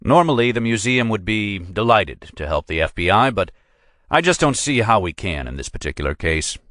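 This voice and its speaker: A man's voice: on the low side, big pitch swings, moderate pace, fairly bright tone, no echo, clean studio sound, pitched above the performer's own voice. A man voicing a curt, pompous museum lawyer who talks down to his visitors and turns them away. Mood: dismissive. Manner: brusque, dismissive, pompous.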